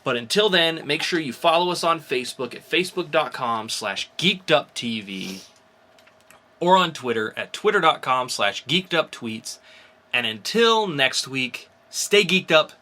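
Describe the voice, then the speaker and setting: A man's voice: fairly high pitch, animated, a little slow, sharp tone. A man co-hosting a podcast in casual, informal banter. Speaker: podcast co-host.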